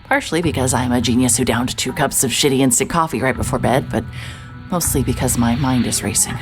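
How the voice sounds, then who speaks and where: A woman playing a very deep-voiced sardonic investigator. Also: brisk, music in the background. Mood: wry. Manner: wry, dry, self-mocking delivery. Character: hard-hearted, sardonic woman private investigator. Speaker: private investigator. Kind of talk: first-person scripted narration.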